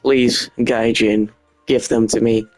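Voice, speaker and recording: A man with a Dutch accent talks through a gaming video at a slow pace. His commentary is casual and informal, built on short emphatic phrases, with faint music underneath. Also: medium pitch, little pitch movement, darkish tone. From Netherlands.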